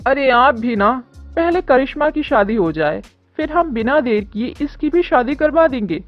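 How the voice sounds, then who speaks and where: Woman playing a high-voiced indulgent mom. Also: animated, normal speed. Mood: reassuring. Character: indulgent, reassuring middle-aged mother. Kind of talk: animated story dialogue. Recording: dull lo-fi audio with music.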